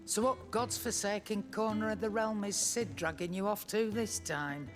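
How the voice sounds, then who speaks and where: A woman's voice: mid-range voice, moderate pace, natural voice. A woman playing a nosy, salty tavern keeper in a fantasy video game, with a regional English accent and blunt, colloquial talk. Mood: brusque, gossipy. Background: music.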